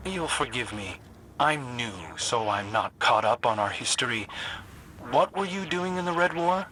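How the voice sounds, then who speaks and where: Video game newcomer scout: compassionate, dryly witty, forceful bright delivery over light background noise. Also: male voice, medium pitch, expressive, measured pace. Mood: wry. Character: wry, compassionate young newcomer scout, male.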